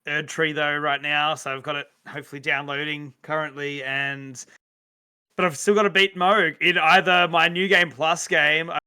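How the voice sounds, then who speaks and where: A man co-hosting a podcast, chatting in a relaxed way and laughing often. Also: on the high side, moderate pace, smooth. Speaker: podcast co-host. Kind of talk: casual chat.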